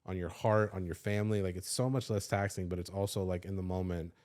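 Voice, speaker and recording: Thirties guy, kinda low voice, rambling honest story full of likes and cussing. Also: steady tone, quick pace, a little mellow. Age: 30s. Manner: loose and confessional, swears freely, many "like" fillers. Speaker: podcast host. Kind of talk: candid personal storytelling.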